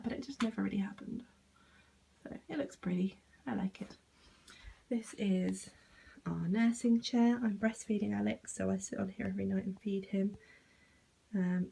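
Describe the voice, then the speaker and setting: A woman's voice: medium pitch, creaky, darkish tone, a little hiss or hum, rough recording. A young British woman giving a casual, self-deprecating home tour in an unpolished, informal way. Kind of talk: casual informal chat to camera.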